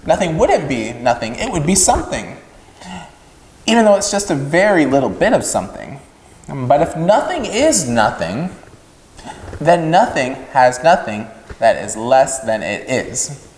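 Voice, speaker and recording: A man's voice: mid-range voice, big pitch swings, unhurried, darkish tone, a little hiss or hum. A man voicing a naive, lonely young storybook pig who speaks earnestly and emphatically. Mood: puzzled, insistent. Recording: echoey room with long reverb.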